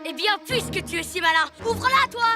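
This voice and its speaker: A brash cartoon child with a very high-pitched voice. The kid is cocky and teasing, with loud music playing underneath. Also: female voice, expressive, fairly quick. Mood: boastful. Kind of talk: French children's cartoon acting.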